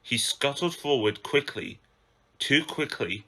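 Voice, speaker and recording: Bright-voiced man reading a story. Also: medium pitch, expressive, takes it slowly, poor audio. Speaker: amateur storybook narrator. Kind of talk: scripted children's story narration.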